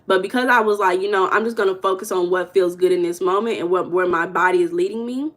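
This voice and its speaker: A woman's voice: lowish voice, fast talker. A young American woman giving loose, rambling mindset coaching in a casual livestream chat, stumbling and correcting herself as she goes. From United States. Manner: loose, rambling and conversational, with self-corrections and humming between comments. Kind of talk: casual livestream chat, reading viewer comments.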